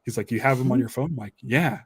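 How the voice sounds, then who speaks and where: Guy co-hosting a podcast, just chatting, keen storyteller, middle pitch, really dry sound. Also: fast talker, slightly rough, no room sound. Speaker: podcast co-host. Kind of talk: casual podcast chat.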